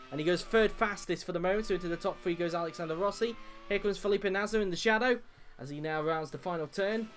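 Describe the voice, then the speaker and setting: A man with a croaky voice, giving steady play-by-play commentary on a sim racing qualifying session. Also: on the high side. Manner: steady lap-by-lap play-by-play with frequent "uh" fillers.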